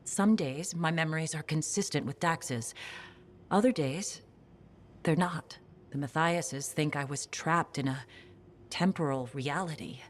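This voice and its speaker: An actress playing a gentle, confused woman in video game dialogue who speaks hesitantly about uncertain memories. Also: sits low, slight background noise. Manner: hesitant, halting, soft.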